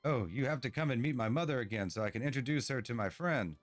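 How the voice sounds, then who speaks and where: A man's voice: medium pitch, quick pace, close to an everyday voice. Guy doing a proud eager young dwarf tinkerer from a game, kinda awkward, music behind. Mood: excited, proud. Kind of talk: storybook-like game dialogue read aloud.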